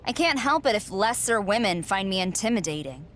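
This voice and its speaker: A woman's voice: mid-range voice, very animated, a little fast, fairly bright tone, a little hiss or hum. A woman playing an arrogant, condescending villainous wrestling champion in a video game. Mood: haughty. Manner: smug, condescending, boastful. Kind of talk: scripted video game cutscene acting.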